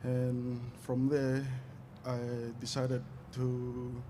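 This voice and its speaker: A man answers interview questions as an athlete at a live event. He speaks slowly and haltingly, with frequent hesitations and little movement in pitch. There is faint noise in the background. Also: monotone, dark tone. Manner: hesitant, halting with many long pauses. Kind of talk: unscripted on-stage interview answers.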